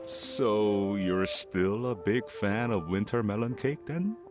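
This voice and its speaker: A man voicing a gentle, nostalgic father in a video game, speaking tenderly. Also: fairly low pitch, animated, takes it slowly, music in the background. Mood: teasing. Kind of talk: video game character dialogue.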